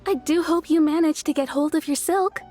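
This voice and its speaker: An eager, courteous shopkeeper voiced by a woman speaks in a very high, polite voice. The game dialogue is enthusiastic, with faint music behind it. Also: fairly quick, darkish tone, natural voice. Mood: pleased, eager.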